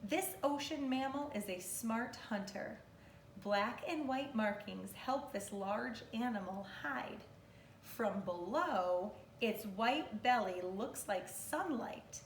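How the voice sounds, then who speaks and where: A woman in her thirties, a kindergarten teacher reading aloud to young children in an expressive, instructive way and asking questions, recorded at home from a few metres away. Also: mid-range voice. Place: living room at home, indoors. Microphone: camera or phone a few metres away. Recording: roomy, distant mic, faint noise.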